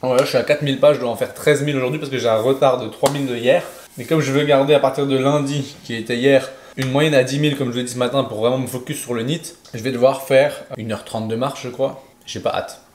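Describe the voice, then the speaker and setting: A man's voice: medium pitch, steady tone, quick pace, a little mellow. Chatty French-speaking man vlogging. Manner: chatty, explaining step counts and food as he goes. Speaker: nutrition coach and vlogger. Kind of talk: casual vlog chat to camera. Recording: faint background noise.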